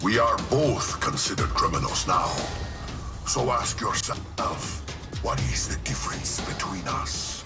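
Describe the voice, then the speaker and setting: Game supervillain: pompous menace, lowish pitch, deliberate pacing, very loud music behind. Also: male voice, animated, heavily acted. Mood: gloating menace. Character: menacing, paranoid male supervillain with grand ambitions. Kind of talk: video game cutscene acting.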